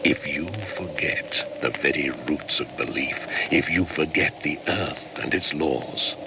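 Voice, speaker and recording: A man's voice: very deep voice, unhurried, creaky, exaggerated voice. An actor playing a solemn shaman, keeper of ancient laws, speaking portentously in a radio drama. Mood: solemn, admonishing. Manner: portentous, admonishing delivery. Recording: noisy atmospheric radio play track.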